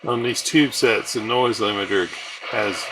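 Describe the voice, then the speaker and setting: A man giving a casual, unscripted, halting commentary as he demonstrates a radio receiver as a hobbyist. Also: fairly low pitch, steady tone. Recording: loud radio static and buzzing throughout. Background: shortwave receiver noise and broadcasts.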